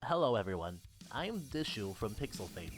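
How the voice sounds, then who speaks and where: A man's voice: lowish voice, sing-song, normal speed. A man playing a game developer who presents step by step at a convention panel in a visual novel game. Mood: upbeat, instructive. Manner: addresses a crowd in clear steps. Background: guitar game music.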